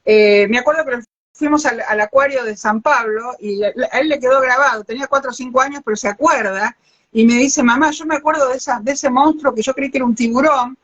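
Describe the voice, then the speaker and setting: A woman's voice: high-pitched, brisk, highs cut off. An older woman from Argentina with a Rioplatense accent, a biologist hosting a live interview and telling stories with many restarts. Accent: Rioplatense Spanish. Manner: animated storyteller, many restarts. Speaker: host, biologist. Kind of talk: live interview, casual chat. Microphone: video call.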